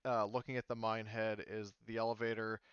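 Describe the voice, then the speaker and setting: Male GM, tabletop rpg, hesitant rambling explainer, smooth clean voice, dry muffled mic. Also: on the low side, level tone, fairly quick, a bit bright, no echo. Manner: rambling, many fillers and restarts. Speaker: game master. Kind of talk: running a tabletop roleplaying game.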